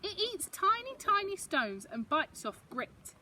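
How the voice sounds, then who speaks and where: Woman acting kindly storybook grandmother, knowing and patient, very animated pitch, some background noise. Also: measured pace, exaggerated voice. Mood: enthusiastic, explaining. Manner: patient, explaining. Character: knowing, kindly grandmother who loves worms. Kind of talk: children's storybook character reading.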